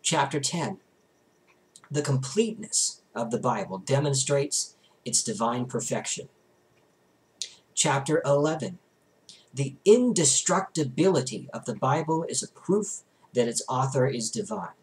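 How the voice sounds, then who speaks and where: Young man reading aloud with swooping pitch. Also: big pitch swings, measured pace, low-quality recording. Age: young adult. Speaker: reader, vlogger. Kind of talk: expressive book reading aloud. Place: small bedroom, indoors.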